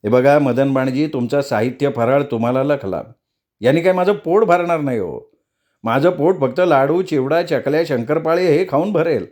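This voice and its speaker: A man's voice: a little high, moderate pace, a little mellow, lightly acted. A man voicing a greedy, scheming, freeloading neighbour who wheedles and mutters asides in a comic story. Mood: impatient.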